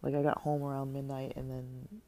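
Female vlogger, casual rambling delivery with lots of fillers, mellow tone, slightly stuffy and tired. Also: a bass voice, little pitch movement, normal speed. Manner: hesitant and rambling, many fillers and restarts. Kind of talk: casual vlog monologue.

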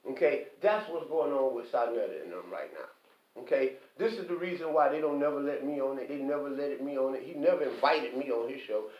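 A man delivering an impassioned, preachy monologue, emphatic and repetitive, often pausing to ask "okay?". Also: medium pitch. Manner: preachy, quotes scripture. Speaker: online commentator. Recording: echoey, distant, dull lo-fi.

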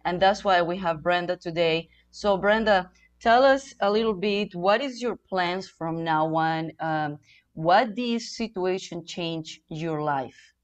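A woman's voice: on the low side, slow. A woman hosting an online interview, asking questions hesitantly with many fillers. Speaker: host.

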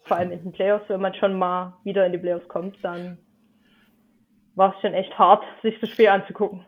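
A woman's voice: mid-range voice, level tone, moderate pace. A woman from Dresden with a light Saxon accent, chatting as a podcast guest in a friendly, hesitant way with many fillers. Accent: Saxon German. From Dresden, Germany. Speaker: podcast guest, football fan. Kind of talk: casual podcast chat. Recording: tinny phone-like line.

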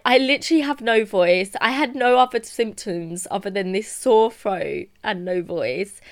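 Smooth-voiced chatty young woman. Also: medium pitch. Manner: chatty storytelling with many "like" fillers. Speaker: podcast co-host. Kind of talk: casual chat.

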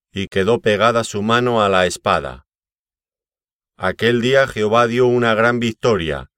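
A man reading scripture aloud as scripted narration, in a solemn, measured way with deliberate phrasing. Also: fairly low pitch, a bit bright, clear, resonant tone, no echo, studio quality. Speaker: Bible reader.

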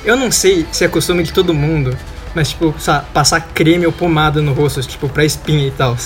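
Rambling young Brazilian man, fairly high voice. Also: a little fast, faint music behind. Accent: Brazilian Portuguese. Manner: rambling, slangy. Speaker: podcast panelist. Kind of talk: casual chat.

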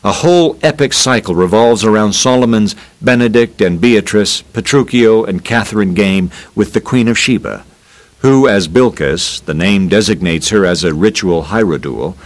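A man reading a scripted scholarly essay aloud in a measured, formal and expressive narrating style. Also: on the low side. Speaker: reader of a scholarly talk.

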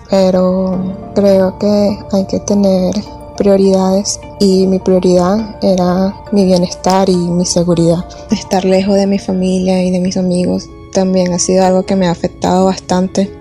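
A woman with a Venezuelan accent speaks in a mellow, even-toned voice. She talks quickly and reflectively about her life, with faint music in the background. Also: medium pitch. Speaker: audiovisual translator, interviewee. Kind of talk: personal testimony.

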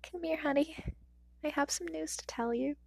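A woman voicing a tender, grieving mother who speaks softly through tears. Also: high-pitched, moderate pace, creaky, no echo, voice pushed up. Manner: soft, gentle, tearful.

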